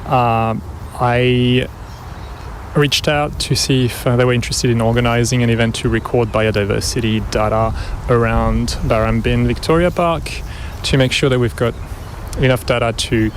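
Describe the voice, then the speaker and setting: A man's voice: mid-range voice, steady tone, moderate pace. A man from France, interviewed outside in a city park, talking casually with many fillers and restarts. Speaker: interviewee, event organiser. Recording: noisy outdoor field recording. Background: traffic and city ambience.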